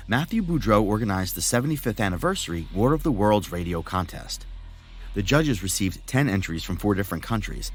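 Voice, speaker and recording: Horror podcast host, played by a man: deep-set, theatrical, macabre, with widely swinging intonation. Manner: theatrical, savoring, ornate delivery. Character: gleeful macabre master of frights horror host. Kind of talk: scripted spooky introduction.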